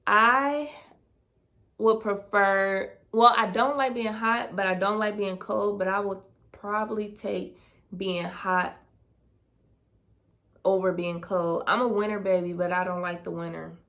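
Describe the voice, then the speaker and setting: A woman's voice: medium pitch, steady tone, a little slow, fairly bright tone. A woman, casually answering personal questions on her vlog, thinking aloud with fillers. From Dayton, Ohio, US. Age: 20s. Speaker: vlogger. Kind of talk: casual Q&A tag video.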